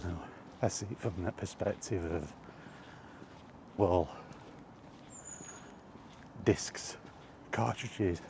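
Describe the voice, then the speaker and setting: A man giving a casual, halting opinion monologue to a vlog audience, rambling along in short phrases while out walking. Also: deep voice, warm tone, a little hiss or hum. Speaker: video blogger. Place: outdoors, walking.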